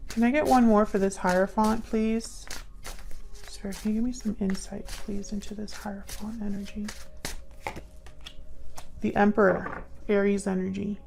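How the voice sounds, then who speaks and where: A woman reads tarot cards aloud with a noticeably creaky, gravelly edge. Her pitch stays in a narrow, level band. She muses as she goes, pausing to think out loud and addressing her viewers directly. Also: level tone, a little hiss or hum. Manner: musing, halting, thinking aloud while reading cards. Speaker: tarot reader, host. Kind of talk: improvised tarot card reading to viewers.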